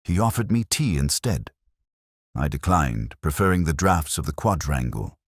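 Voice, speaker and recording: Male actor as older melancholy detective inspector for audio drama: extremely low voice, lively intonation, measured reflective narration. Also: dry recording, clean studio sound, heavily acted. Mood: brooding, reflective. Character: melancholy, observant older male English police inspector recounting a case. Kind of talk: scripted first-person detective narration.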